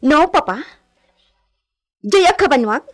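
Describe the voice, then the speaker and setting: A woman playing a haughty, spoiled young landowner's daughter in a Filipino radio drama, with a Filipino accent and drawn-out, Spanish-flavoured phrasing. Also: high voice, very animated. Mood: haughty, coaxing. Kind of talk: Ilocano radio drama acting.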